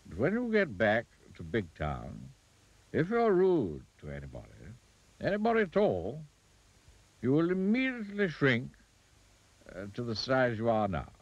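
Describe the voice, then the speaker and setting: A stern storybook king of the goblins, voiced by a man, regal and reproving. The pitch rises and falls broadly as he lays down the law, in a slightly dull recording. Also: huge pitch swings, no echo, full character voice. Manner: regal, measured, admonishing. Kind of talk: children's storybook character voice.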